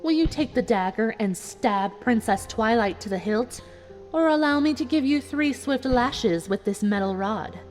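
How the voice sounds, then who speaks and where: A woman voicing a cruel, calm female unicorn villain in a fan fiction reading, smug, taunting and deliberate. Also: on the high side, voice pushed up, natural voice. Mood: cold, smug. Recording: voice over a music bed.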